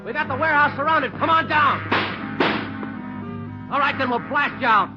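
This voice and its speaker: An actor playing a tough, commanding American police sergeant in clipped 1940s radio drama style. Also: male voice, very high-pitched, normal speed. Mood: shouting, urgent. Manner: clipped, terse orders. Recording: muffled old radio recording, loud dramatic music.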